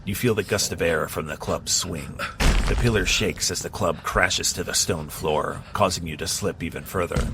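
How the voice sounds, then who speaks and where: A man with a very deep voice narrates an action story in steady, measured tones. Clear background noise sits under his voice. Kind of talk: scripted second-person narration.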